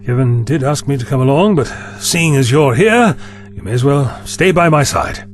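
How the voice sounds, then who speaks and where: A man's voice: mid-range voice, animated, moderate pace, soft background music, close to an everyday voice. A man playing a courteous, absent-minded time traveler in an audio drama, old-fashioned and polite. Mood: puzzled.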